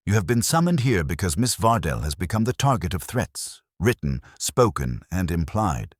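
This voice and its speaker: Male narrator as unyielding genius sleuth for mystery audiobook: formal, commanding, very low, with emphatic swings in pitch. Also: sing-song, dry recording, studio quality. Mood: stern, accusing. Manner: slow, measured syllables, formal and commanding. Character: imperious, calm, stern male detective genius, pedantic and unyielding.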